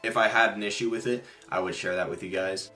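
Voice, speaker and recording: A man's voice: on the low side, fairly even intonation, fast, sharp tone, soft background music. A man giving a casual product review to his viewers in informal, slangy language. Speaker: product reviewer and video host.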